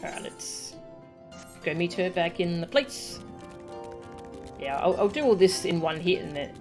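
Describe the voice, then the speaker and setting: A woman's voice: fairly low pitch, expressive, a little slow, a little mellow, soft background music. A woman streamer chatting casually between game dialogue, with stuttering asides and trailing murmurs into a desk mic.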